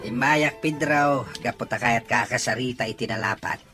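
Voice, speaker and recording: A man's voice: mid-range voice, normal speed, over loud music, natural voice. A man with an Ilocano accent playing a patient, fair-minded farmer father in an audio drama. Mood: firm, conciliatory. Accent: Ilocano, from the Philippines.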